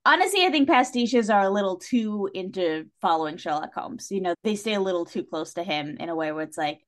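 A woman's voice: medium pitch, expressive, fairly quick. Effusive, opinionated woman podcast guest. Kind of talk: casual podcast discussion.

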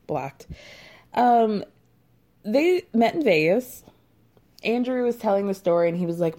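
Young woman, casual gossip commentator, sarcastic and dishy, relaxed dark timbre. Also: medium pitch. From United States. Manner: sarcastic, gossipy, lots of fillers and laughs. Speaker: commentator recapping a reality dating show.

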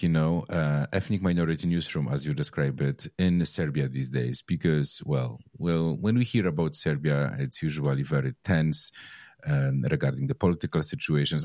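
A man's voice: extremely low pitch, a little fast, darkish tone, dry recording, clean studio sound. A man hosting a podcast interview, chatting casually and rambling with many fillers and restarts. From Poland. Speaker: podcast host.

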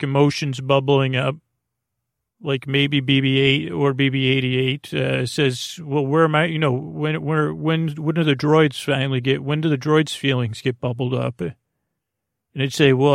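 Male podcast host, very creaky and gravelly, close dry sound; whimsical, stop-start bedtime rambling that keeps wandering off. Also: mid-range voice, no room sound. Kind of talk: rambling, tangent-filled bedtime monologue.

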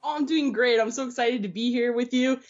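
A woman's voice: fairly high pitch, fairly quick, soft background music. Enthusiastic American woman, lo-fi sound. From United States. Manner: enthusiastic, storytelling, laughs easily. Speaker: podcast guest. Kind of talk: casual interview chat.

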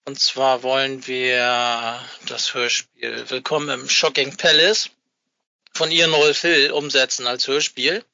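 A middle-aged man chatting casually as an interview guest, haltingly searching for words and rambling a little. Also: medium pitch, steady tone, creaky, bright, forceful tone, no room sound. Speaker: podcast guest, fan club founder. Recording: dull, dry, band-limited remote connection.

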